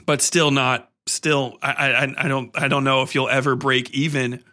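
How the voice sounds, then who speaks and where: A man hosting a podcast, giving casual, rambling film commentary in an excitable, emphatic, sweary way. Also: mid-range voice, normal speed. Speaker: podcast host.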